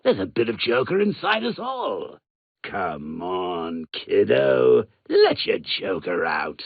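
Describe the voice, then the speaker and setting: A man's voice: on the low side, wildly swooping pitch, slow, creaky, narrow, tinny audio, exaggerated voice. A man voicing a manic, mocking male clown villain in a video game, cackling and swinging his delivery wildly. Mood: taunting. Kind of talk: game voice lines.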